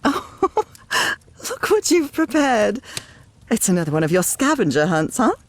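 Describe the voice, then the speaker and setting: A woman's voice: on the high side, big pitch swings, a little slow, slight background noise. A woman acting in a game as an affectionate, playful wife who also narrates with a wry touch. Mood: playful, delighted. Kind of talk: dramatic game narration and dialogue.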